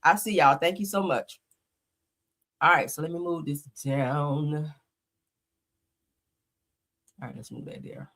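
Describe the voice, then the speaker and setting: A woman's voice: low-pitched, slow pace, pauses often, fairly bright tone. A woman hosting a casual live stream, sniffling from a slight cold, greeting her viewers and chatting with them in a loose, easygoing way. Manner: chatty, greets viewers often, says y'all.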